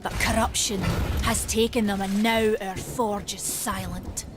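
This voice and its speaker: A woman's voice: medium pitch, fairly even intonation, unhurried, natural voice. An actress playing a proud, stubborn female forge keeper who talks in old-fashioned, plainspoken terms, with game music behind her. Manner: archaic, plainspoken, bargaining.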